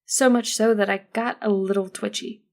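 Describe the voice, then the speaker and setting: A woman's voice: medium pitch, steady tone, moderate pace, darkish tone. Woman narrating a first-person story. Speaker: audiobook narrator.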